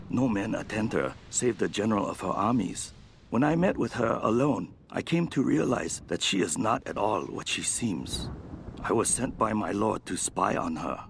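An actor playing a grave, wary ambassador and spy in a video game, reading his journal in measured, formal, dramatic phrases. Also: on the low side, creaky, slight background noise. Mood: wary admiration. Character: grave, wary male ambassador spying at a foreign court. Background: music.

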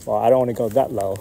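Male pilot, live commentary, very mellow dark tone, mid pitch, calm clipped callouts, outdoors. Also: gravelly. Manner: calm, calls out maneuvers in short phrases. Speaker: RC jet pilot. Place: outdoors at an RC airfield.

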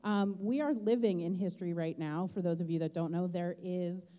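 A woman's voice: lowish voice, normal speed, dark tone. A woman school administrator introducing a teacher at a board meeting, chatty with frequent fillers. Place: large school auditorium. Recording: auditorium with long reverb.

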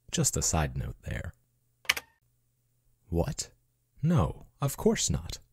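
A man acts out a devoted AI companion in an ASMR roleplay. His voice is very low, mellow and husky, with lively swings in intonation. He reassures tenderly and earnestly, with a possessive streak. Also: exaggerated voice. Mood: protective. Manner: soothing, earnest, affectionate reassurance. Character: devoted male chatbot robot companion, tender, possessive, falling in love.

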